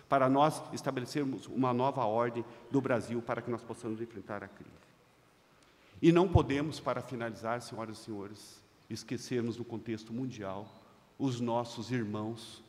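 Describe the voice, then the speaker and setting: Brazilian man giving a formal seminar speech. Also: medium pitch. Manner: emphatic, rising loud on key phrases, slowing to stress points. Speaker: seminar speaker. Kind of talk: formal conference speech read from notes. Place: large conference hall, seated at a panel table. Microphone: desk microphone on a stand. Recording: long hall reverb.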